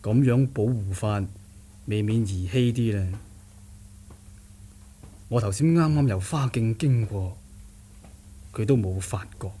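A man's voice: on the low side, slow pace, long pauses, dark tone, slight background noise. A male actor playing a determined, sardonic young martial-arts nephew in a radio drama, theatrical, scoffing and insistent. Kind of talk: Cantonese radio drama acting.

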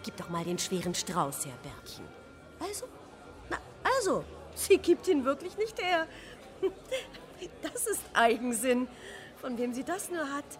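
A woman's voice: high voice, sing-song, a little slow, long pauses, reverberant, soft background music. An actress playing a doting, proud mother in a radio drama, fussy and coaxing. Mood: proud, chatty. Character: doting, fussing, proud mother showing off her child. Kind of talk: German radio drama acting.

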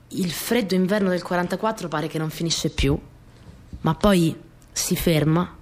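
An actress playing a spirited young wartime partisan courier girl in an expressive radio theatre monologue. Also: sits low, natural voice. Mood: reflective. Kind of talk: dramatic first-person monologue for radio theatre.

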